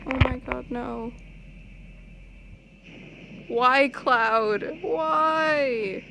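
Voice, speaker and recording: A young woman giving casual, excitable live reaction commentary on game cutscenes, full of exclamations. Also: high voice, very slow, creaky, a little hiss or hum, low-quality recording. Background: game cutscene music.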